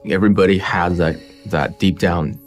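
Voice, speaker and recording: A man's voice: low voice, steady tone, normal speed, faint music behind. A man reflecting on a story in a documentary interview, with small restarts. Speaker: animation director interviewed.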